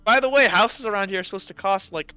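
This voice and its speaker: A man with a high, bright voice narrates a tabletop game as its game master. His delivery is casual and crisp, recorded dry with faint music behind him. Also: quick pace, dry recording, muffled recording.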